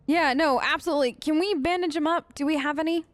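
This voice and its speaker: High-voiced woman playing through a game. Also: quick pace. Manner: playful, bantering. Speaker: gaming video host. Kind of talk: role-play let's play commentary, chatty.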